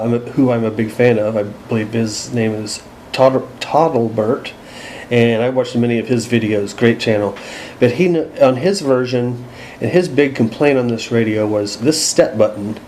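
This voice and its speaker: A man's voice: mid-range voice, a little mellow, a little hiss or hum. A man giving a casual, unscripted radio gear walkthrough, thinking aloud in a hesitant, self-deprecating way. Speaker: radio hobbyist presenting a gear demonstration.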